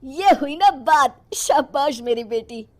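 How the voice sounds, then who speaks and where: A woman's voice: sits high, lively intonation, slow pace. A woman voicing a firm, protective father in a moral story, speaking earnestly and emphatically. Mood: reassuring. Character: firm, protective father standing up for his daughter.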